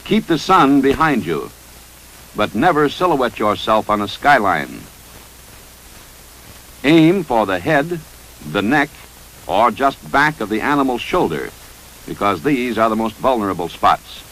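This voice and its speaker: American man, lively 1950s training film narrator. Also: medium pitch, rough recording. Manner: measured, instructive, authoritative. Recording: 1955 training film soundtrack.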